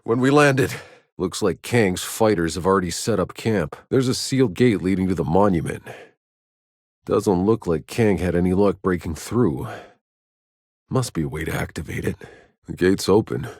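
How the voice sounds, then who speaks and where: Gritty husky soldier dude for a sci-fi shooter game, lowish voice, dry and sardonic. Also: male voice, lively intonation, normal speed, darkish tone, no echo. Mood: wary, awed. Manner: terse, dry, wry. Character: battle-worn sci-fi soldier, gruff, sardonic, foul-mouthed man. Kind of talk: video game voice acting.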